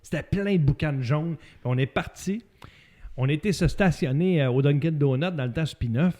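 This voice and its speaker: A man with a Québécois accent hosting a casual comedy show, telling stories in an animated way with frequent laughter. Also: fairly high pitch, normal speed. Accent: Québécois French. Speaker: host. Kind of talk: casual comedy podcast chat.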